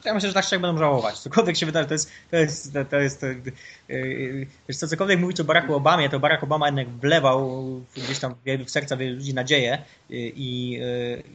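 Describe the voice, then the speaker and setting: Guy guest on a podcast, middle pitch, goes on and on with his opinions. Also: fairly even intonation, fairly quick, fairly bright tone. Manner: opinionated, rambling explanations. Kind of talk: casual chat.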